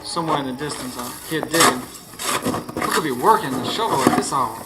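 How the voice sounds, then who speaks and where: A man's voice: medium pitch, expressive, brisk, lightly acted. A man playing a grieving, frightened narrator in a live radio horror drama, telling it dramatically in the first person. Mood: somber, uneasy. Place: studio before live audience. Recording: noisy live radio stage recording.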